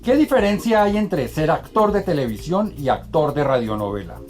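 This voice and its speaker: A man's voice: fairly high pitch, lively intonation, normal speed, over music. A man with a Colombian accent presenting and interviewing as a program host, courteous and polished.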